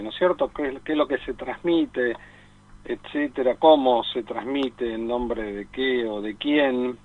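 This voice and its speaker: Argentine guy phoning in film talk, tinny line, kinda halting and reflective, repeats himself. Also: mid-range voice. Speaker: film columnist on a radio show. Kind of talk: conversational commentary. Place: remote call into a radio studio. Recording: thin phone-line sound.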